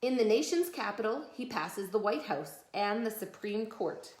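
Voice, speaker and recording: A woman's voice: medium pitch, lively intonation, moderate pace, a little mellow, slight background noise. Woman reading aloud in an echoey room. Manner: explains patiently in simple words. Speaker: mother reading a picture book aloud to her children. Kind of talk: read-aloud with conversational explanations to a child.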